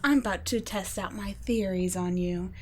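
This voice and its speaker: Woman doing a cold vampire scientist lady, soft whispery taunts, talks down to you, faint hiss behind, mid pitch. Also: moderate pace, close to an everyday voice. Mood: mocking. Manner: soft, often whispered, mocking and condescending. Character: cold, teasing female vampire scientist captor. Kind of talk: ASMR roleplay audio drama.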